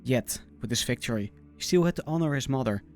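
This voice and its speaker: A man from the Netherlands with a Dutch accent, reading a scripted fantasy story in a measured, dramatic way. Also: medium pitch, animated. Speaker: story narrator. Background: music.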